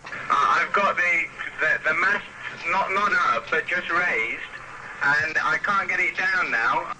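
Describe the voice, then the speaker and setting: A flustered, halting male reporter speaking off the cuff over a radio link. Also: a little high. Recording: noisy distant two-way radio link.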